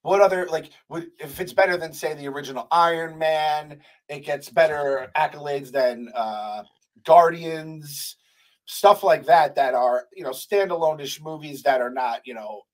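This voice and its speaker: A young adult man hosting a podcast, talking in animated, playful, swearing banter at a studio mic. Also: on the high side, unhurried, poor audio. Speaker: podcast host. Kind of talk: casual chat. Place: studio room with poster wall. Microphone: large studio mic with headphones.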